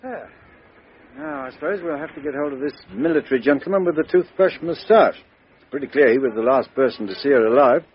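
A man playing a brisk police inspector who hems and haws while questioning, in a dull-sounding old radio drama recording. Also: mid-range voice, a little hiss or hum, natural voice. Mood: musing. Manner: brisk, patient, hemming. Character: brisk Scotland Yard police inspector, middle-aged man. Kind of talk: 1950s radio drama acting.

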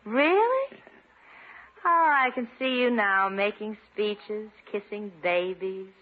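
An actress playing a supportive, gently teasing lady friend in a 1950s radio sitcom, polite and wry. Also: mid-range voice, animated, slow pace. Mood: playful. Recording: noisy narrow-band old radio recording.

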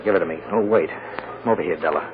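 An actor playing a gentle, shrewd defense lawyer in old-time radio style, easygoing and conversational. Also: male voice, low voice, very fast, noisy background, close to an everyday voice. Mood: hushed, shrewd. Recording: dull vintage radio recording.

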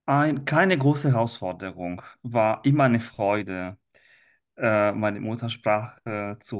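An Italian man in a relaxed podcast conversation, with a medium-pitched voice and an Italian accent. He talks at a very slow pace and often hesitates as he searches for the right phrase. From Italy. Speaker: language teacher, interview guest.

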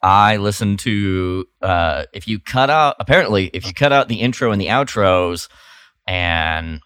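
A man's voice: on the low side, measured pace, clean tone, dry recording, clean studio sound. A man co-hosting a podcast, talking casually and loosely with many uhs and likes. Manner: relaxed, hesitant with uh and like. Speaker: podcast co-host.

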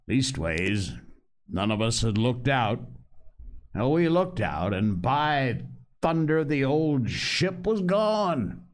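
A man voicing a cunning old male pirate captain who talks in wheedling nautical slang. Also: lowish voice. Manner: sly, gracious, nautical slang.

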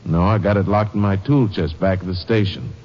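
A man's voice: low voice, brisk. Defensive gas station man, old radio play, muffled hissy sound with long echo. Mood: explaining. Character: wary gas station owner suspect, man. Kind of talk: 1940s radio drama acting. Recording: dull old radio recording with hiss.

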